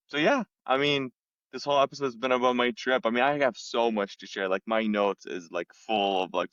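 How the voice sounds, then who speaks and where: A man with a very deep voice talks casually and thoughtfully, leaning on filler words. The recording is dry and a little muffled. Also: dry recording. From Lebanon. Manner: relaxed and reflective, many "like" fillers. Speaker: podcast guest sharing travel stories. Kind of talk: casual conversation.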